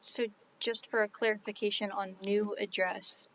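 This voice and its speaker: A woman's voice: mid-range voice, flat delivery, moderate pace, a little hiss or hum, low-quality recording. Careful city official woman. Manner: careful, checking details. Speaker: city representative. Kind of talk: formal clarifying questions.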